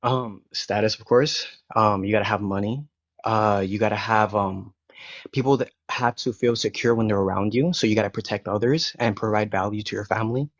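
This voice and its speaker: A young man speaking English as a second language, with Spanish as his first, talks bluntly and rambles through restarts in a live-stream debate. His voice sits fairly low. The call audio is dry and dull, with a lo-fi muffled band. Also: steady tone, moderate pace, no room sound. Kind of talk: casual argumentative chat.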